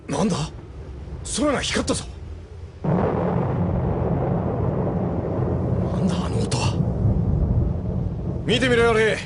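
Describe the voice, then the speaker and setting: An actor playing a cocky, hot-tempered young pilot thug with coarse, slangy speech in an audio drama. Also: male voice, sits high, big pitch swings, normal speed, heavy background noise. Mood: startled. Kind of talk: Japanese audio drama acting. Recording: music behind the voice.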